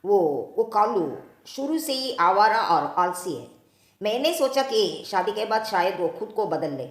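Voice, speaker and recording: A woman's voice: medium pitch, expressive, unhurried, reverberant, natural voice. A woman voicing a sympathetic female sparrow friend in a cartoon, earnest and apologetic. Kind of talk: Hindi cartoon story character acting.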